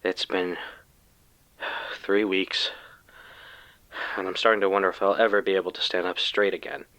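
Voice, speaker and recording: A man playing a shell-shocked researcher in an audio drama, speaking with halting, strained, deadpan gravity. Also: low voice, no echo, low-quality recording. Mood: grim, pained. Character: shell-shocked male researcher, grimly dramatic survivor of food poisoning. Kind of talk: scripted audio drama testimonial.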